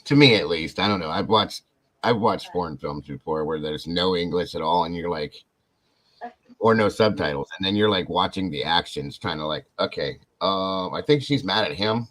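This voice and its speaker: A man hosting a casual podcast, rambling and animated, talking to viewers in the chat. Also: sits low, moderate pace. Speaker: podcast host.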